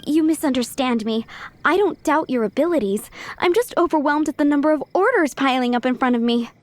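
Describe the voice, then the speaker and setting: A woman's voice: high-pitched, a little fast, dry recording, lightly acted. A woman playing a polite, earnest and overworked young restaurant worker in a video game. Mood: stressed, earnest. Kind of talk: animated video game voice acting.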